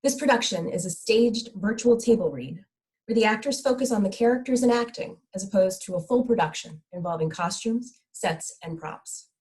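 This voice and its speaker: A woman's voice: mid-range voice, normal speed, darkish tone. A woman announcer reading a scripted welcome to introduce a theater production.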